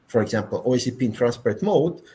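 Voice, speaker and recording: A man's voice: medium pitch, expressive, quick pace, warm tone. A man with a Croatian accent, a native Croatian speaker, speaking as a panelist in a technical discussion, emphatic and often ending points with "yeah?".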